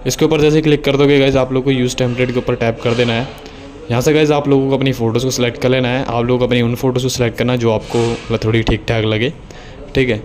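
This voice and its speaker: A man's voice: mid-range voice, flat delivery, fast talker, darkish tone. A man giving a casual, instructional tutorial voice-over, explaining things step by step in a repetitive way. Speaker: video editing tutorial host.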